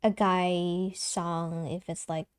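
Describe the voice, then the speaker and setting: A woman's voice: lowish voice, little pitch movement, slow, smooth. A young woman streamer with a light soprano voice, chatting casually and giggling, with lots of fillers and restarts. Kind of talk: casual live-stream chat.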